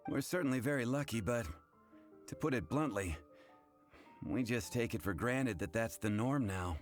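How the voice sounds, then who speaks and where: A man's voice: mid-range voice, expressive, moderate pace, natural voice. Blunt, wry bartender, played by a man. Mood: matter-of-fact. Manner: blunt, sardonic. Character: blunt, wry male tavern bartender, adult. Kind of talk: anime video game voice acting. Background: music.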